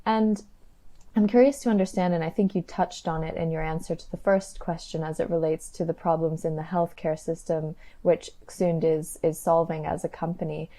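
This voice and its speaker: A woman's voice: low-pitched, fairly even intonation, moderate pace, warm tone. A woman interviewer putting professional questions to a guest.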